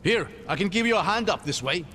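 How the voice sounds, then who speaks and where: An actor playing a courteous, wry older scholar-traveler in a cinematic video game, talkative and good-humoured. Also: male voice, high-pitched, brisk, a little hiss or hum. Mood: wry, musing. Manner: courteous, wry, expressive storytelling delivery. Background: background music.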